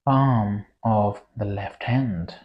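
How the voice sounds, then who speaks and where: A meditation guide leading a yoga nidra relaxation in a soothing, deliberate way, with long pauses between short phrases. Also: medium pitch, dull audio.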